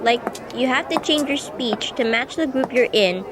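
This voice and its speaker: Skeptical student girl played by a woman, high voice, casual and blunt, traffic noise behind her. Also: expressive, normal speed, natural voice. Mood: musing. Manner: questioning, informal, blunt. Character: skeptical female student classmate, casual and questioning. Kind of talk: amateur radio drama, casual chat. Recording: noisy street ambience with vehicles. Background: outdoor urban noise, vehicles.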